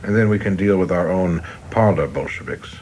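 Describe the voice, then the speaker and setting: An actor in a radio play playing a young, zealous FBI chief, formal, clipped and officious. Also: male voice, low voice, normal speed, a little mellow, a little hiss or hum, close to an everyday voice. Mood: resolute. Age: young adult.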